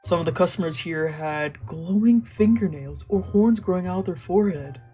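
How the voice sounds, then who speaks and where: Girl streamer reading a visual novel, bit low n husky, slangy asides, soft music behind. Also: moderate pace. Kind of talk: casual let's play narration and commentary.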